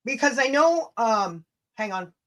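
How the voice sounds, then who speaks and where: A woman's voice: on the high side, animated, unhurried. A woman hosting a casual livestream rant, blunt, combative and sweary, with chatty asides to her viewers. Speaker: livestream host.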